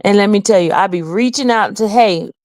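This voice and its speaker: A woman's voice: medium pitch, a little fast, a little mellow, dry recording, studio quality. A woman hosting her own livestream channel, talking earnestly and from the heart to her viewers, now and then answering the chat. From Haiti. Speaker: channel host. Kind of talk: casual livestream talk to viewers.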